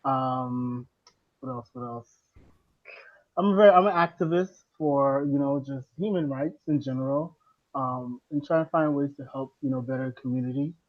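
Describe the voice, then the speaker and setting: A man from the Bahamas, a guest on a live podcast, joining by video call and chatting in a relaxed, slangy way. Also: mid-range voice, normal speed, narrow, tinny audio. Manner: laid-back, slangy, lots of "you know". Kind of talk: casual video-call chat.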